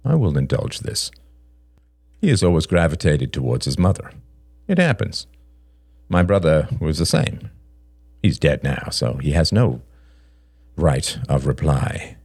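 A man voicing a cold, aggrieved father in an audiobook drama, deliberate and contemptuous as he confronts a rival. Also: rumbling low, big pitch swings, vocal fry, a little mellow, slightly rough, dry recording, studio quality, heavily acted. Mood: cold, resentful.

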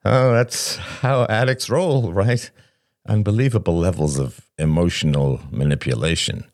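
A male reader performs an awkward man who tries to calm his friends. He sounds uneasy and pleading, in a dry audiobook recording. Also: deep voice, measured pace, creaky, dry recording, heavily acted. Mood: awkward, resentful. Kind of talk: dramatic audiobook character dialogue.

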